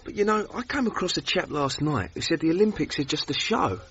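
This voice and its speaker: High-voiced working-class British boxer. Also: male voice, animated, a little fast, a bit bright, breathy edge, exaggerated voice. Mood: wary, casual. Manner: colloquial, terse. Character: plain-spoken working-class British boxer, young, wary, 1930s. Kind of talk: radio drama acting. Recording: dull radio recording, faint background noise.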